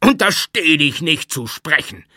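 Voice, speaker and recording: A man voicing a furious, fanatical man who shouts commands at his cousin in an audiobook. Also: medium pitch, animated, a little slow, husky, no room sound, clean studio sound, voice pushed up. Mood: enraged shouting. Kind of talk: audiobook character acting.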